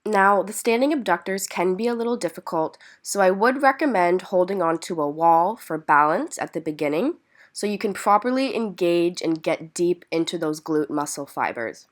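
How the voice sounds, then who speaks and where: A woman's voice: on the low side, expressive, normal speed. A woman with a slightly stuffy, congested voice from being sick, narrating exercise instructions as a fitness vlogger in an encouraging, explanatory way. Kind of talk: instructional workout voice-over.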